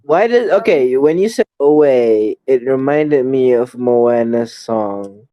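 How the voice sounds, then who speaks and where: A woman's voice: a bass voice, expressive, takes it slowly, no echo, highs cut off. A woman joining a podcast in casual, joking chat, with a playful teasing manner. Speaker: podcast participant.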